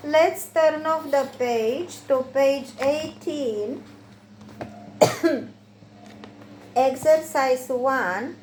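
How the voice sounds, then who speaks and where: High-voiced woman reading slowly. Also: a little mellow. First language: Romanian. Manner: slow, careful reading with deliberate phrasing. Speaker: English learner working through textbook exercises. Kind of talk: reading exercises aloud and answering them. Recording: roomy echo with faint background hiss.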